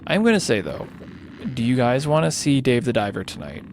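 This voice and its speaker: A tired man whose voice is starting to go hoarse, rambling casually and thinking aloud as he hosts a gaming livestream. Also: medium pitch, wildly swooping pitch, fairly quick, a little mellow, slight background noise. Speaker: livestreamer hosting a charity gaming stream. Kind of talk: casual unscripted game-stream chat.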